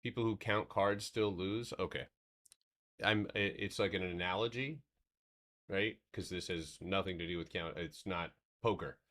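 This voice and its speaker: A man's voice: low voice, normal speed, fairly bright tone. A man hosting a live trading stream, talking casually with a soothing voice, rambling and swearing freely.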